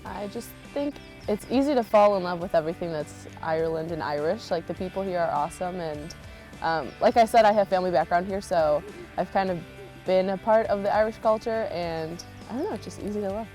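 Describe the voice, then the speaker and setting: A woman's voice: on the low side, a little fast, darkish tone, faint music behind. A young American woman giving casual, chatty interview answers as an exchange student. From Chicago, United States. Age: young adult.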